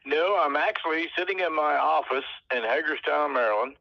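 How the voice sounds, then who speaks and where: A man's voice: fairly high pitch, a little fast, creaky, dry recording. An American man talking as a guest over the phone from his office, a folksy, joking storyteller. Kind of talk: casual phone interview. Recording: tinny phone line.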